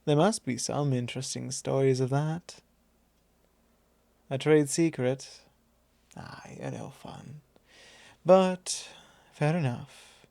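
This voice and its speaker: Man playing a slow, creaky-voiced vampire lord. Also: mid-range voice, big pitch swings, dark tone, no room sound, heavily acted. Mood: teasing, curious. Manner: measured, drawling, formal wording, teasing. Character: possessive male vampire lord, teasing, calmly probing his thrall. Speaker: ASMR roleplay performer. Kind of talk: ASMR roleplay, intimate and unhurried.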